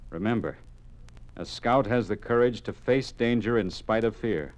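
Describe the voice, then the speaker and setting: A man's voice: on the low side, normal speed, lightly acted. An actor playing a stern, worried father in a 1950s radio drama, grave, earnest and insistent. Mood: firm, resolute. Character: stern, worried father urging his son to courage.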